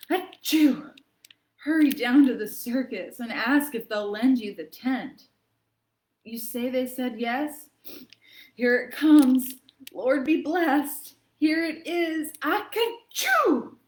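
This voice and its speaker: A woman's voice: high voice, echoey room. A woman voicing a dramatic, frantic cold sufferer in a theatrical, pleading reading of a children's poem. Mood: desperate, dramatic. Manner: theatrical, pleading, with loud fake sneezes.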